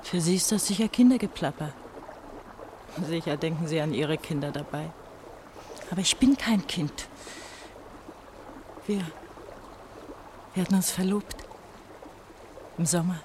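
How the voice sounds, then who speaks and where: An actress playing a bitter, feverish eighteen-year-old East German girl in a German radio play, brooding and halting in her delivery. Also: on the low side, darkish tone, slight background noise, close to an everyday voice. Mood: fearful, brooding.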